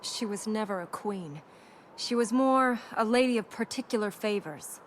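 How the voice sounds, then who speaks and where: An actress playing a serious, determined young princess in a video game, earnest and dryly sharp-tongued. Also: female voice, mid-range voice, normal speed, slight background noise, lightly acted. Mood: stern, dry. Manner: earnest, dry, blunt.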